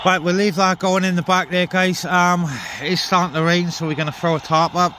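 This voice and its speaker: A man's voice: high voice, little pitch movement, a little fast. A man chatting casually and informally to viewers as he handles gear at an outdoor camp, muttering asides. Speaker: outdoor CB radio vlogger. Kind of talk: casual vlog chat to viewers. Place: outdoors in a wet forest camp. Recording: outdoor camera with clear background noise.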